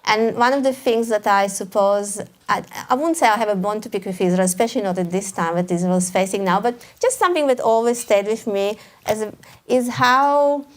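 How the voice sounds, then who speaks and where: A woman with a Russian accent, an author speaking at a live talk, hesitant, restarting often with small laughs. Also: mid-range voice. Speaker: author guest. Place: event hall before a live audience.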